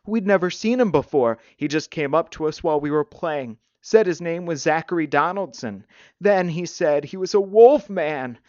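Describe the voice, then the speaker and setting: A man's voice: on the high side, lively intonation, normal speed, a little mellow, dry recording, lo-fi sound, pitched above the performer's own voice, close to an everyday voice. A man voicing a big, confident young boy in a storybook reading. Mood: frightened, plaintive. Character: big confident boy, frightened at home, sneering bully.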